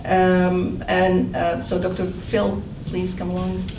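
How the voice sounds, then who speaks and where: A woman's voice: lowish voice, completely flat delivery, takes it slowly. Woman introducing speakers, lots of ums, sounds far off in a roomy hall. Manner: hesitant, many fillers. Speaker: event host introducing speakers. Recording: echoey room, mic at a distance, noisy.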